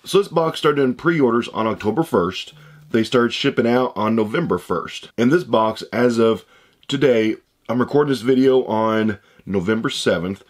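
A man in his thirties with a hoarse voice he says he is losing, chatting casually as he unboxes items at a desk indoors. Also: medium pitch, moderate pace. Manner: chatty, rambling, upbeat. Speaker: unboxing channel host. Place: room with shelves of shoe boxes, indoors.

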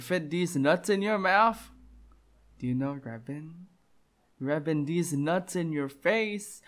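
Slow-talking young man with a lisp. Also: a little high. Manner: playful, giggly, teasing. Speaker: ASMR boyfriend roleplayer. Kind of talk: intimate ASMR roleplay telling jokes.

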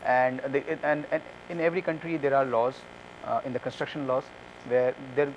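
A man's voice: mid-range voice, fairly even intonation, normal speed, muffled recording, a little hiss or hum. A man in his thirties, a conference speaker, answering audience questions with many fillers and restarts, on a lapel mic. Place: conference room with curtains.

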